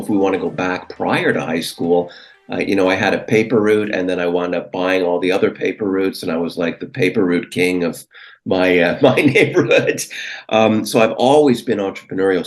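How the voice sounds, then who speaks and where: A middle-aged Canadian man from Vancouver answering as an interview guest, recounting his career in a conversational way. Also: deep voice.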